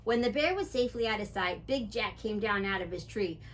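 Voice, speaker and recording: A middle-aged woman reading a picture book aloud to children in an animated way, talking to them directly with questions. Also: mid-range voice, fairly quick. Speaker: children's story time reader and wildlife educator. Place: small indoor library room with bookshelves.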